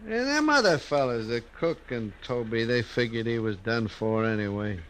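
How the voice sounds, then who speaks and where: A man's voice: mid-range voice, animated, normal speed, fairly bright tone, slight background noise, natural voice. A man acting a callous, evasive buffalo-hide outfit boss in a 1950s radio western, grudging and drawling.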